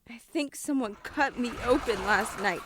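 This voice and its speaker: A woman playing a dazed, frightened, injured kindergarten teacher in a horror audio drama, speaking in halting fragments. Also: a little high, heavy background noise, lightly acted. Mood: pained, desperate. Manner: halting, breaking sentences into fragments.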